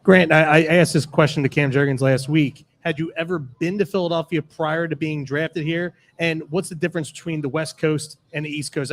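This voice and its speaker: A man with a fairly high voice talks quickly. He is a sports show panelist putting casual questions to a guest.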